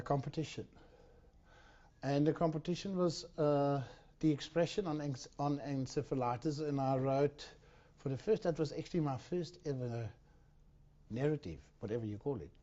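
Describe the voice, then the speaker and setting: A man speaking at a conference about his own illness. He talks in a halting way and often restarts or repeats words. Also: fairly high pitch, muffled recording. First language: not Dutch. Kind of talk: personal talk at a conference. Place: conference hall.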